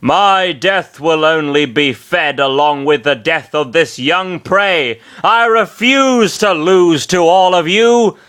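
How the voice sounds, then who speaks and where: A man's voice: a little high, expressive, slow, hardly any pauses, fairly bright tone, smooth, pitched above the performer's own voice. A man doing Bernard, a cackling pompous villain. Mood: menacing. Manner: maniacal laughter, menacing threats. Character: pompous villainous male commander, scheming, boastful.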